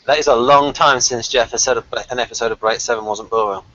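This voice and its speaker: A man's voice: medium pitch, steady tone, fast talker, a bit bright. A man co-hosting a review show, chatting casually and reading out episode summaries over a lo-fi online call. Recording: dull, lo-fi online call audio.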